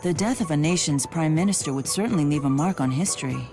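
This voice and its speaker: Low-voiced, cool, composed woman. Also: fast, music in the background. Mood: cool, analytical. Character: cool, composed, dryly analytical woman agent. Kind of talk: video game voice acting.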